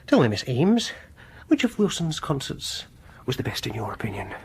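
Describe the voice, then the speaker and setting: An actor playing a coldly vengeful, obsessive music lover in a radio horror drama, pedantic and condescending. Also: male voice, mid-range voice, wildly swooping pitch, normal speed, darkish tone, a little hiss or hum. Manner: pedantic, mock-reasonable, condescending.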